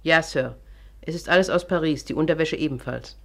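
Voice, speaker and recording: An actress playing a brisk, obedient woman underling in a 1950s radio thriller, giving clipped, businesslike reports. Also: low-pitched, vocal fry. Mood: dutiful, reporting. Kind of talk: 1950s German radio play acting.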